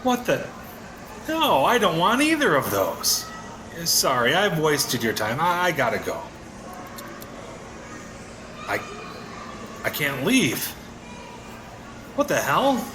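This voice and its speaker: A man acting in an audio play as an anxious, indecisive everyman customer with a halting, uncertain delivery. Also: on the high side, wildly swooping pitch, heavily acted. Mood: alarmed. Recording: clearly noisy background.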